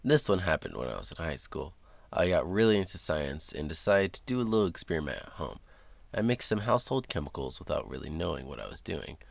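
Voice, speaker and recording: A man's voice: extremely low pitch, big pitch swings, brisk, creaky, no room sound, heavily acted. A man voicing a nerdy talking duck sidekick who recites trivia matter-of-factly for a children's read-aloud show. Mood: sheepish storytelling. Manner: nerdy, matter-of-fact fact-dropping.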